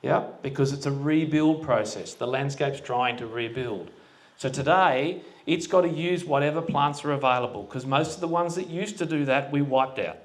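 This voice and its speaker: A man speaking to a live audience at a forum in a relaxed, plain-spoken way, often putting questions to the room. His voice sits at a middle pitch for a man, and he talks into a handheld microphone. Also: moderate pace. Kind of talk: casual public talk to an audience. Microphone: handheld microphone that cut out.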